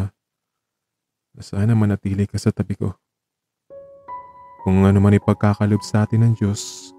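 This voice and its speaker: A man playing an ailing, tender and teasing man in an audio drama, speaking softly and haltingly with sentiment. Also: lowish voice, lightly acted. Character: ailing young man, tender, teasing, grateful to his companion. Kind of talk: Tagalog audio drama acting.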